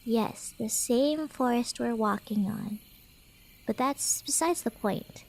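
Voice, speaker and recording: Woman acting as a high-voiced, teasing cat girl. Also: slow, creaky, exaggerated voice. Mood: musing, inquisitive.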